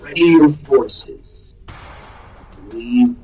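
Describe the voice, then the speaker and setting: A man voicing a solemn, resolute alien commander in an audio drama, with deliberate, declamatory delivery. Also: medium pitch, a little mellow, slightly rough, faint music behind, pitched above the performer's own voice. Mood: solemn, commanding.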